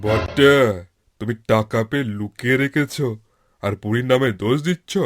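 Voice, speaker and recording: A man's voice: mid-range voice, measured pace, lightly acted. Man playing a barking, threatening police chief. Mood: accusing. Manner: loud, barking, stern. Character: blustering, threatening male royal police chief. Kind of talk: cartoon storybook character voice. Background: music.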